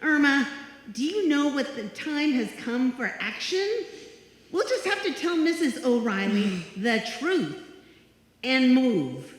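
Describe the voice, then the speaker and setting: A woman's voice: high voice, sing-song, slow, exaggerated voice. An actress playing a sensible, take-charge young working woman with emphatic theatrical delivery in a live radio comedy. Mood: dismayed. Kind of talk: live old-time radio comedy acting. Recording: very echoey hall, noisy live stage recording.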